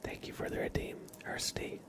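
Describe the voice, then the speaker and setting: A man hosting an ASMR livestream, talking in a gentle, reassuring, soothing way. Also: moderate pace. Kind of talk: whispered ASMR, soothing affirmations and chat to viewers.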